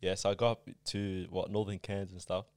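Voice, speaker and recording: A man's voice: deep voice, little pitch movement, a little fast, darkish tone, dry recording. A young Australian man telling stories in a casual podcast chat, often tagging on "yeah". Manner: storytelling, repeats yeah.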